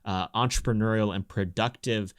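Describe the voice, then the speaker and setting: A man's voice: fairly low pitch, fairly even intonation, moderate pace. A man hosting a podcast in casual talk, teasing his co-host with jokes. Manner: joking, teasing banter.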